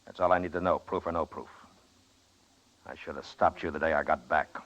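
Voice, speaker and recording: A stern American lawman of the Old West in a radio drama. His voice sits very low, and he talks in blunt, commanding phrases. The old recording is muffled. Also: fairly even intonation, a little fast, a bit bright. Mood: hard, commanding. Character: stern, unyielding frontier US marshal, middle-aged man. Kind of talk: old-time radio western acting.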